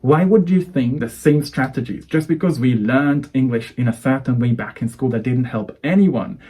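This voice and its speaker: A Hungarian-accented man coaching English learners straight to camera. His voice is mellow and dark, his delivery earnest and persuasive, stacking up one phrase after another as he makes his case. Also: medium pitch, moderate pace, slightly rough. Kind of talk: talking-to-camera lesson.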